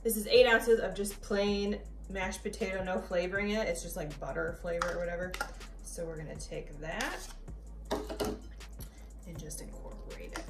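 A woman's voice: on the low side, fairly even intonation, moderate pace. A young woman chatting casually in a home kitchen while she demonstrates a recipe and tastes as she goes. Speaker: cooking vlogger. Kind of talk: casual cooking demo. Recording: echoey kitchen, voice a bit far from mic, background noise.

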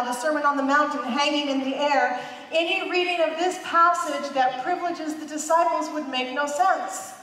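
Brief: woman pastor in her fifties, high voice, emphatic sermon, long hall reverb, heard off-mic. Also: a bit bright, slight background noise. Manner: emphatic, repeats phrases for emphasis, gestures widely. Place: large dark church stage. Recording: echoey hall, heard from a distance.

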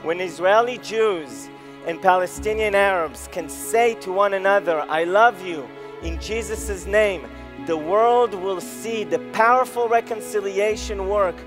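A man's voice: high-pitched, a little slow. A man speaking in a public address. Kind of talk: public speech. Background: music.

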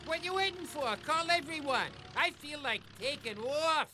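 A man's voice: extremely high pitch, expressive, moderate pace, full character voice. A man playing a grumpy, jokey old magical creature in a cartoon, talking in wisecracking slang over music. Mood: cocky, wisecracking. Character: grumpy, jokey male magical shape-shifting creature, getting on in years. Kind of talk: animated comic sidekick acting. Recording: loud soundtrack music.

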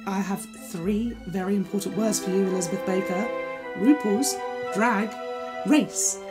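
A man's voice: sits high, a little slow. An actor playing a sassy, cautious young man with a British accent and a sarcastic, theatrical way of talking in an audio drama. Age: young adult. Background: music.

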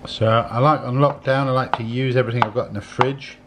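A man in his sixties talks through a recipe at home in an unhurried, casual way. His voice is medium in pitch. The clicks and clatter of dishes and cutlery are clearly heard around him. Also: steady tone, background noise. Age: 60s. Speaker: home cook, presenter. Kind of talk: casual cooking demonstration. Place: home kitchen, indoors.

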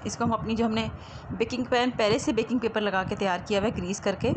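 A woman's voice: on the high side, fairly even intonation, fast. A woman giving a casual recipe voice-over as a home cooking host. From Pakistan. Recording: clearly noisy homemade voice-over recording.

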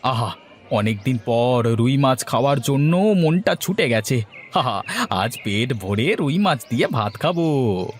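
A man's voice: medium pitch, lively intonation, measured pace, a little hiss or hum, close to an everyday voice. A man playing an exasperated, hungry village farmer husband who complains dramatically in a comedy cartoon. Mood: eager, bossy. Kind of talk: Bengali comedy cartoon dialogue.

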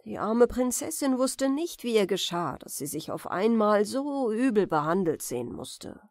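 A woman narrator giving a measured, careful, expressive reading of a fairy tale as a bedtime audiobook. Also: medium pitch, dry recording, studio quality.

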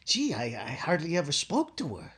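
A man's voice: a little high, wildly swooping pitch, moderate pace, a bit bright, husky, exaggerated voice. An actor playing a blustering, easily flustered family man in old radio comedy style, stammering and exclaiming. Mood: shy, flustered. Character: blustering, bashful, flustered husband and father, comic everyman. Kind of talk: 1940s-50s radio sitcom acting. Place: indoors at home on a video call.

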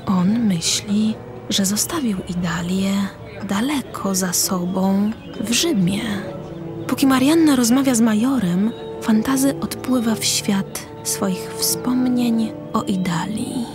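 A woman's voice: medium pitch, slow pace. A woman reading scripted narration for an audio drama, describing the action as narrator. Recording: music under the voice. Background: music.

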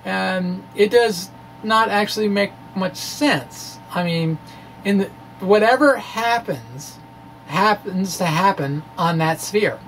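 A middle-aged man giving an explanatory monologue in a halting, deliberate way, stretching some words as he goes. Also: sits high, a bit bright, low-quality recording. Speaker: physics explainer, video blogger. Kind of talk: explanatory monologue, part read, part ad-libbed. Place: indoor room with bookshelves. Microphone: microphone on stand in front of him. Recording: clear background room noise.

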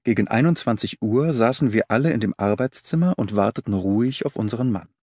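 A man narrating an audiobook in the first person, measured and expressive. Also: lowish voice, no room sound, studio quality.

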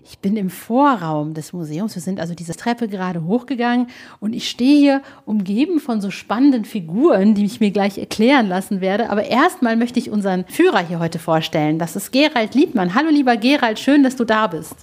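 A woman's voice: medium pitch, lively intonation, brisk, a little mellow. A woman hosting a casual on-location reportage, chattily describing what is around her. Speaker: podcast host and reporter. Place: on location at a small museum, outside and in stairway. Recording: ambient noise, on-location recording.

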